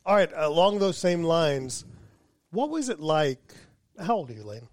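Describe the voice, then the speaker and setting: A man's voice: on the high side, big pitch swings, measured pace, a little mellow, dry recording. Chatty man in his forties, dry sound. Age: 40s. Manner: rambling, self-correcting, friendly questioning. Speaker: podcast host and dentist. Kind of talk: casual interview chat.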